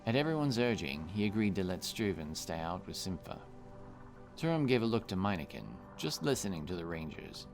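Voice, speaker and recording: Narrator: man with a very low voice, scripted fantasy storytelling, soft music bed underneath. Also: big pitch swings, fairly quick.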